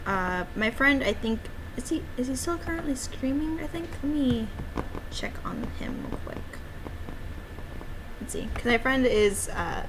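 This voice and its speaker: A woman streamer talking in a casual, rambling livestream style, playful and given to cutesy little exclamations. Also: on the high side. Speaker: streamer and amateur game developer. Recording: clear background noise.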